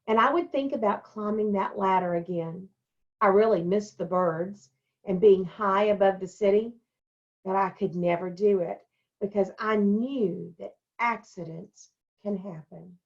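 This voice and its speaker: A woman reading a children's storybook aloud and talking to young pupils in simple, deliberate phrases. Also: mid-range voice, steady tone, dull audio. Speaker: elementary school staff member reading a picture book to children.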